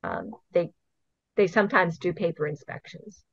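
Woman from Hawaii, middle-aged, earnest explainer, lowish voice, dull dry video call sound. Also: big pitch swings, normal speed, gravelly, dry recording. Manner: earnest, explains step by step, laughs now and then. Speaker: invasive species program manager, guest. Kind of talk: casual interview answers.

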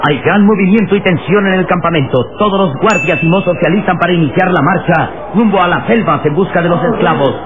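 A male narrator delivers a vintage radio serial dramatically. His voice sits high. The recording is thin, tinny and heavily covered in noise. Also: quick pace.

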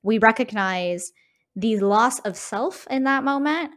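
A woman's voice: on the high side, animated, measured pace. A woman co-hosting a podcast in analytical conversation, emphatic and often checking in with tag questions. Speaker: podcast co-host.

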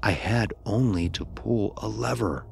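A man narrating a scripted first-person horror story in a grave, deliberate storytelling manner. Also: on the low side, vocal fry, a little hiss or hum.

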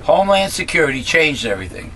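A middle-aged man with a raspy voice, talking casually to a webcam from a small cluttered room at home, rambling with many fillers and restarts as he airs blunt opinions. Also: a little high, sing-song, fast talker, sharp tone, slight background noise. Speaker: host of a political commentary channel. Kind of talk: casual monologue, talking to camera.

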